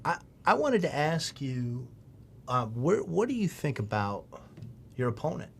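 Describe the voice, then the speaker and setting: A man hosting a podcast in a studio, asking relaxed questions with a jokey edge. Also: on the high side, a little slow, creaky, husky. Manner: theatrical intro, then relaxed questions. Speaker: podcast host. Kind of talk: casual podcast interview. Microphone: studio podcast mic.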